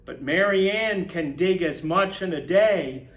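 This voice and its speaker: A man's voice: high-pitched, animated, a little slow, a bit bright, echoey room, full character voice. A man reading a storybook, voicing an eager, confident steam shovel operator who boasts emphatically. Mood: boastful, bright.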